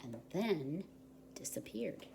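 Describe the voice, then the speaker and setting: A woman reading a picture book aloud to young children in an expressive, deliberate storytelling manner. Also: deep voice, vocal fry, noisy background. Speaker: volunteer story reader.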